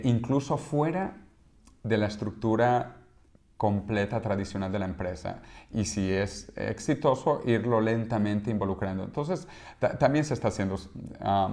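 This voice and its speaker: A man with a somewhat low voice, speaking as an interview guest. He is in his fifties and grew up in Venezuela. He explains things with emphasis, heaping on one example after another. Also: darkish tone. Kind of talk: conversational interview.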